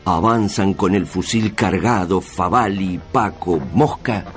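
A man delivers dramatic scripted narration in a deep voice. He is solemn and measured, with a literary turn. Soft music plays behind him.

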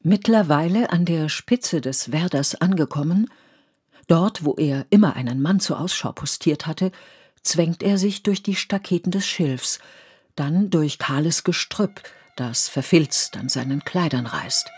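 A woman narrating a scripted literary radio play in measured, poetic storytelling. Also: sits low, expressive, darkish tone, husky. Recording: studio radio play with music underneath.

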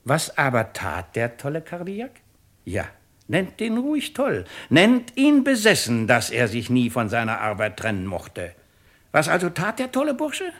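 An actor playing a shrewd, pompous, mocking presiding judge in a 1950s radio play. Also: male voice, medium pitch, huge pitch swings, unhurried, a bit bright, exaggerated voice. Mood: animated, insinuating. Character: shrewd, sardonic male presiding judge of a French tribunal.

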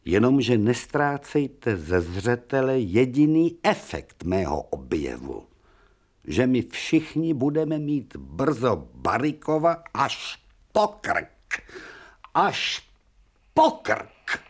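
A sardonic old professor surgeon. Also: male voice, mid-range voice, very animated. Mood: exasperated. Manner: theatrical, ironic, hums and whispers. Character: proud aging male professor surgeon, sardonic. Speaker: Professor Preobrazhensky. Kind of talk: 1990 radio drama acting.

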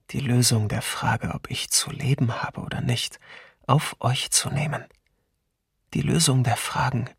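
Husky, slow, indignant defiant man. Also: medium pitch, dry recording, clean studio sound, exaggerated voice. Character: indignant man defying those deciding his life. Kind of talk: documentary radio drama monologue.

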